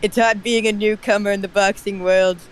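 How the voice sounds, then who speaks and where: A man's voice: high voice, little pitch movement, fairly quick, clear, resonant tone, poor audio. A man reading a story's narration aloud. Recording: faint background noise.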